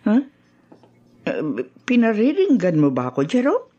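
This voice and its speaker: Defensive, scheming woman, dramatically animated. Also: fairly high pitch, a little slow, slightly rough, muffled recording, full character voice. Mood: startled, falsely sweet. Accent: Filipino. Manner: exclamatory, theatrical reactions. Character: defensive woman secretly having affair with friend's husband. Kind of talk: Filipino radio drama acting.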